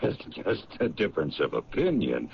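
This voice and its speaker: A man's voice: a bass voice, huge pitch swings, unhurried, gravelly, exaggerated voice. An actor playing a blustery but genial businessman with a temper, folksy and evasive, in 1970s radio drama style. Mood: evasive, affable. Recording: dull, noisy old radio recording.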